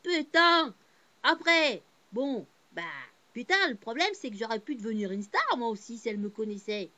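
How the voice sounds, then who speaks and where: A woman's voice: on the high side, normal speed. A woman born in Portugal to a Cape Verdean family, telling a personal story in a casual, rambling way with many fillers and restarts. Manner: heartfelt, swings between subdued and animated.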